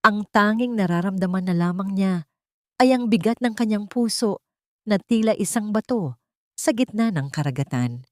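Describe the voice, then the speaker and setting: A woman's voice: medium pitch, expressive, normal speed, darkish tone, no echo, clean studio sound. A woman reading a scripted dramatic story in a solemn, melodramatic manner.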